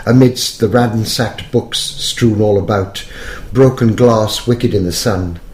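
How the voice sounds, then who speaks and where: A man takes on a posh young English officer from the First World War, reciting a poem. His accent is upper-class English and his delivery is measured, like someone telling a story. The room adds a noticeable echo. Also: mid-range voice, darkish tone, natural voice. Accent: posh English, put on. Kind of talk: poetry reading. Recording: roomy echo.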